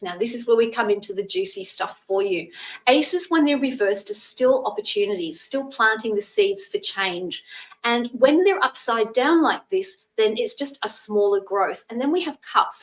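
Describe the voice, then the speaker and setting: A Scottish woman in her sixties giving a live tarot reading, chatting in a gentle, reassuring way and using terms of endearment. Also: a little high, lively intonation, moderate pace, recorded from a distance, low-quality recording. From Scotland. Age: 60s. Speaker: psychic tarot reader.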